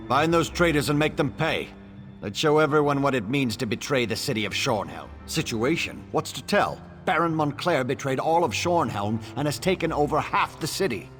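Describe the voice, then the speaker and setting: A man's voice: mid-range voice, animated, moderate pace, a bit bright, soft background music, natural voice. An actor playing a stern, indignant baron defending his city in a fantasy video game, clipped and commanding.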